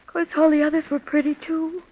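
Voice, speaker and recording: Actress for hysterical, unstable woman, vintage radio mystery. Very high voice, breathless and halting, muffled period recording. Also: level tone, natural voice. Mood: nervous, brittle. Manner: breathless, halting, repeating herself. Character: hysterical, unhinged woman confessing to a murder. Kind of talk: 1940s radio mystery acting.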